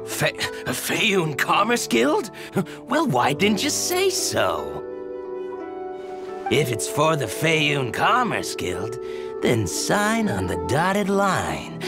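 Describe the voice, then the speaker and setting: A sly back-alley fixer for a game, secretive and hushed, slow, with big swoops in pitch. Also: male voice, full character voice. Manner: hushed and conspiratorial, then eager. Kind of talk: video game voice acting.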